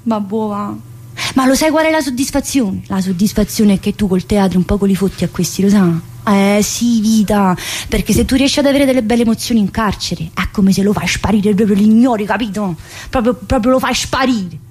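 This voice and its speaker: A woman's voice: mid-range voice, brisk, a little mellow, breathy edge, natural voice. An actress playing a talkative, teasing, wounded woman prisoner in a theatrical monologue, with a southern Italian accent and a rambling, colloquial manner. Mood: reflective, bittersweet. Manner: rambling, colloquial, full of tag questions. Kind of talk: theatrical monologue in colloquial dialect-tinged speech.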